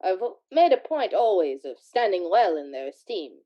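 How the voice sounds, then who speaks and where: An actress playing an earnest, uneasy miser of business in a radio play, with a formal, proper manner. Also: female voice, medium pitch, very animated, moderate pace, lightly acted. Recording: muffled, dull audio.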